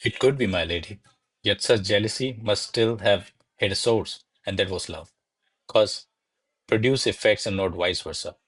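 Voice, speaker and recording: A man acts out a diffident male secretary in a classic radio play. His voice sits fairly low, with formal, courtly phrasing and careful deference. The sound is dry, with little room around it. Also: moderate pace, fairly bright tone, husky, no room sound, rough recording. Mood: earnest, pensive. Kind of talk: stage verse drama read as radio play.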